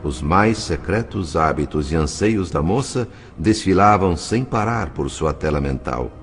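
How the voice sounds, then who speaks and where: A man plays a compassionate narrator in a dramatized audiobook. His low voice moves expressively up and down in measured storytelling, with faint music behind. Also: animated. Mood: reflective. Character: compassionate spirit chronicler narrating what he witnessed. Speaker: narrator and character.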